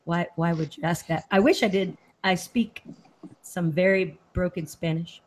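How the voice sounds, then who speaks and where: A woman's voice: lowish voice, moderate pace, a little hiss or hum. A woman from Austin, Texas, hosting a casual live chat and reading viewer comments aloud in a chatty, easily sidetracked way.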